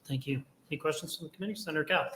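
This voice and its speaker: Brief: quick, slightly high-voiced man chairing a hearing, off-mic with clear background noise. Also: a bit bright. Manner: brisk, procedural. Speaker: committee vice chair. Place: legislative hearing room. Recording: off-mic in a noisy hearing room.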